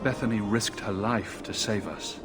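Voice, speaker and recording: A man's voice: on the low side, measured pace, natural voice. A man playing a resolute young refugee mage in a fantasy game, earnest and firm. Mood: somber resolve. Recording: loud music under the voice.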